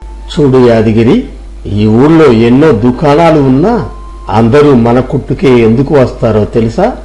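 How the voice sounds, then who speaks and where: Man acting honest rich shopkeeper, instructive and principled, mellow tone over faint music, storybook dialogue. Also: mid-range voice, rough recording. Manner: instructive, measured explaining. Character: honest, principled wealthy village shopkeeper instructing his clerk.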